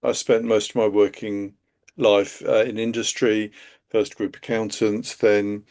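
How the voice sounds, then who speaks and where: A man's voice: on the low side, level tone, a little mellow. Guy hosting a webinar from home, lots of ums, chatty. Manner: chatty, halting, many fillers. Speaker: live stream host, accountant. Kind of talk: casual live webinar talk.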